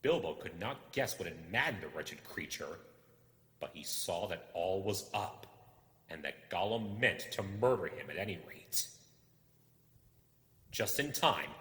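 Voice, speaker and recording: A man's voice: fairly low pitch, bright, forceful tone. A man reading a suspenseful fantasy story aloud as a dramatic narrator. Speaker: storyteller, reader. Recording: echoing hall-like reverb.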